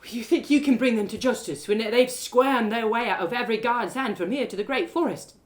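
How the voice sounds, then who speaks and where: A woman's voice: fairly high pitch, brisk, pitched above the performer's own voice, close to an everyday voice. Woman acting out a rueful, glum young cobbler. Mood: skeptical. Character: glum young male cobbler, wounded but kind. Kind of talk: storybook audio drama character.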